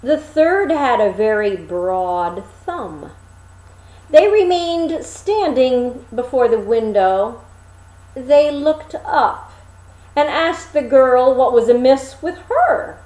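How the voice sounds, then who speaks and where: Slow, animated woman storyteller. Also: high voice, reverberant, rough recording. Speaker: storyteller reading a fairy tale aloud. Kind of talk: expressive storybook narration.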